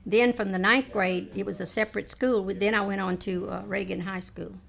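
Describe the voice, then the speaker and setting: A woman in her late seventies, reminiscing in a family oral history chat, rambling with frequent pauses and fillers. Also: medium pitch, steady tone, creaky, a bit bright. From Texas, United States. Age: 70s. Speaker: interviewee, mother.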